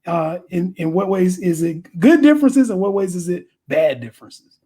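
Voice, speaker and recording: A man hosting an interview show in a relaxed, easygoing way, laughing often and restarting his questions. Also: high-pitched, moderate pace, breathy edge. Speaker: interview host. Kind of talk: casual interview chat.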